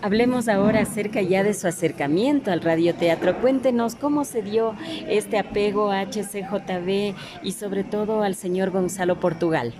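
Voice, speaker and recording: A woman's voice: mid-range voice, moderate pace, few pauses, a crowd in the background. A woman, posing prepared questions as an interviewer. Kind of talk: scripted-sounding interview questions. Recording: noticeable background noise.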